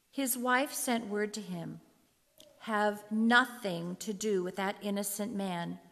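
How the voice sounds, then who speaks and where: A woman narrating scripture from a script for reader's theater, in a measured, solemn manner. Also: a little high, fairly bright tone. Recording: long hall reverb.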